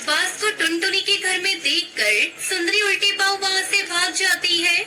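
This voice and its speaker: A woman's voice: very high voice, moderate pace, bright, forceful tone, a little hiss or hum. A woman narrating a scripted children's cartoon story. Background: music.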